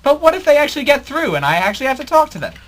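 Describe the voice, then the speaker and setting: A man's voice: very high-pitched, big pitch swings, very fast. Noisy clicky audio, guy doing a dithery old villain, stammery and forgetful, voice swoops around, superhero comedy drama. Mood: doubtful, weary. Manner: stammering, muddled, easily distracted. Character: bumbling forgetful old male supervillain with put-on cackle.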